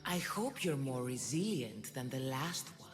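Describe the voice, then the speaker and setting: A woman's voice: a bass voice, very animated, measured pace, heavily acted. A woman playing the Sphinx, an imperious monster guarding truth in a video game, with a haughty, toying delivery. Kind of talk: video game cutscene acting. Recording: music behind the voice.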